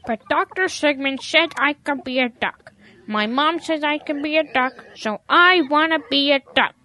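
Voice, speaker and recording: High, childlike, stubborn young cow. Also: female voice, bright, forceful tone. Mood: stubborn, pleading. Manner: halting, stammering, self-correcting. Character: stubborn, dejected young cow insisting it is a duck. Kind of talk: audio drama acting.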